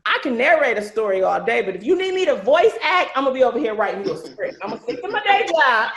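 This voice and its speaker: A higher-voiced woman hosts a relaxed group chat over a video call. She talks in an animated, slangy, conversational way, and her room adds a clear echo. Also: fast, background noise. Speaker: host, narrator and writer of an audio series. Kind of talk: casual group chat, hosting questions.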